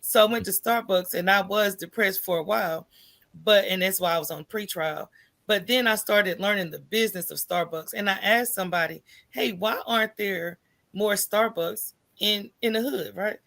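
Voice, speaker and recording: Gravelly, bright-voiced American woman. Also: medium pitch, moderate pace. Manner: informal, candid, often checks in with "right?". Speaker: podcast guest, entrepreneur. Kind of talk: conversational personal storytelling in an interview.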